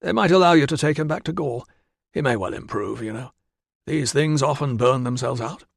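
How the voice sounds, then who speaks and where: A man voicing a cheery, fussy Roman doctor who talks in a brisk, reassuring way and calls people "old chap". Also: mid-range voice, vocal fry, no room sound, studio quality. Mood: concerned. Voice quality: brisk, reassuring, says 'old chap'. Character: cheery middle-aged male Roman doctor, kindly, fussy.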